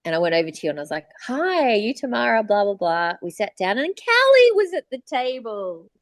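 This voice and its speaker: A woman's voice: fairly high pitch, big pitch swings, a little fast, no room sound. Australian woman chatting, close dry sound. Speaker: podcast co-host, voice actor. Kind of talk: casual podcast chat.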